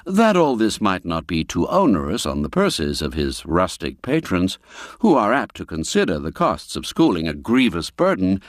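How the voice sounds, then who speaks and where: A man narrates classic fiction as a measured, old-fashioned storyteller. His pace is slow and his pitch moves widely through each phrase. The recording is close and dry. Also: no room sound. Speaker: narrator and audiobook reader. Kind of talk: scripted literary storytelling narration.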